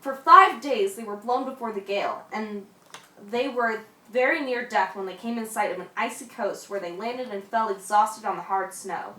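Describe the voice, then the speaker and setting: A woman's voice: mid-range voice, normal speed, pushed and bright, far from the mic, lightly acted. A woman playing an old seafarer who tells a dramatic yarn in an amateur radio play. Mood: grave, dramatic. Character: old seafarer spinning yarns to young lads. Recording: echoey room, off mic.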